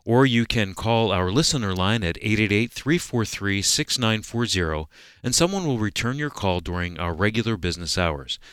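A man reading a promotional announcement on the radio in a measured way, slowing for the phone numbers. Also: fairly low pitch, animated, a bit bright, clean tone, dry recording, studio quality.

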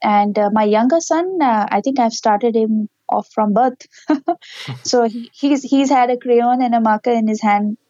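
A woman's voice: on the high side, unhurried, warm tone, dry recording, dull audio. A woman, a parent giving earnest, explanatory answers in an interview. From Pakistan. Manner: earnest, explanatory, with small laughs. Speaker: interview guest, parent and educator.

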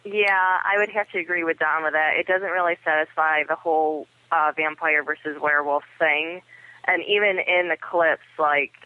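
A man's voice: a little high, fairly even intonation, normal speed, no room sound. A man talking casually as a podcast guest reviewer over a narrow telephone-like connection. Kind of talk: casual discussion. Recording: dry, tinny telephone line.

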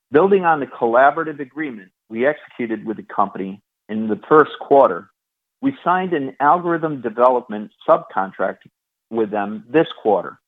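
Formal male executive on a thin phone line. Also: medium pitch. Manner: formal, measured. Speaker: chief executive. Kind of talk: prepared corporate remarks, read out. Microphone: phone call.

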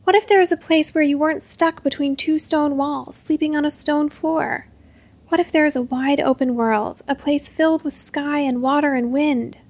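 A woman's voice: sits high, lively intonation, normal speed. A woman voicing a defiant, impassioned girl in a storybook, by turns furious and pleading.

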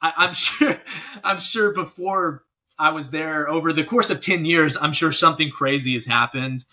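A man's voice: a little high, normal speed, sharp tone, lo-fi sound. A man speaking casually and informally as an interview guest, a working musician talking about his job. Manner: informal, often checking in with "you know". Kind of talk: casual chat.

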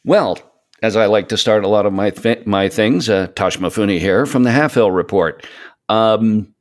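A 57-year-old man chatting casually as a podcast guest, speaking in a halting way with rambling asides. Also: fairly low pitch. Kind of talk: casual interview chat.

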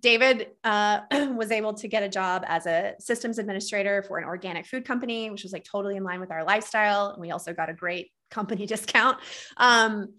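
A woman in her thirties from Texas with a Minnesota accent, telling her story in a lively, casual way and acting out her own quoted lines. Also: medium pitch, brisk. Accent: Minnesota, with traces of Texas. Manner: animated, lots of "like", acts out quoted lines. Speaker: guest, trauma educator and coach.

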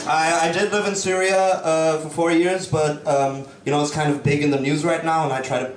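A man's voice: a little high, level tone, normal speed, noisy background. A young man from Germany, a stand-up comic, talking in a joking, self-deprecating way and laughing often. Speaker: stand-up comedian. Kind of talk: stand-up set and casual interview. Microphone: video call.

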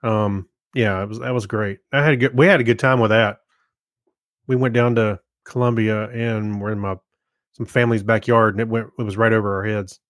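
A man's voice: fairly low pitch, fairly even intonation, fairly quick, fairly bright tone, dry recording, studio quality. An American man co-hosting a podcast, chatting casually and informally with joking interjections. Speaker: podcast co-host.

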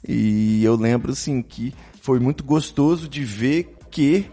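A man's voice: mid-range voice, slow pace, dark tone. A man with a Brazilian accent chatting casually as a podcast host, in colloquial talk full of tag questions. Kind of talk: casual chat.

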